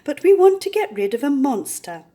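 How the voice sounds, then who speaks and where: A woman's voice: high-pitched, very animated, moderate pace, warm tone, voice pushed up. A woman voicing a vain, self-important male warthog in a children's storybook, bossy yet polite. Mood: polite, purposeful.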